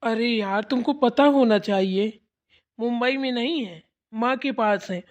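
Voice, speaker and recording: A woman's voice: a little high, measured pace, natural voice. A woman voicing a nagging, grumpy young husband in a family cartoon, bickering in a casual, curt way. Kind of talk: amateur Hindi family cartoon dialogue.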